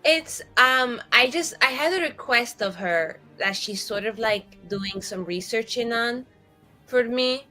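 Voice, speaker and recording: A woman chatting in character as a player in a tabletop roleplaying game, animated, exclamatory and prone to swearing. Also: fairly high pitch, a little slow, faint music behind, poor audio. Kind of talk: improvised casual roleplay chat.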